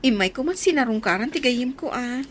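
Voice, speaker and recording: Actress as high-voiced friendly young teacher. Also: female voice, animated, a little fast, slight background noise, lightly acted. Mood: concerned. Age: young adult. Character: friendly young woman, newly graduated volunteer teacher. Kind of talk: Ilocano radio drama acting.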